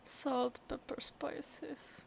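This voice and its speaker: A woman's voice: high-pitched, level tone, drawn-out words, creaky, slight background noise. A woman streaming a game, drawling and amused as she muses aloud. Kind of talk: casual commentary over a game.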